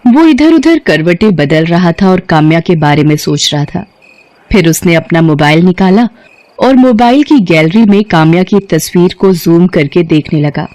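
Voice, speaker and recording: A woman's voice: lowish voice, animated, normal speed, a little mellow, no room sound. A woman narrating a scripted story as a storyteller.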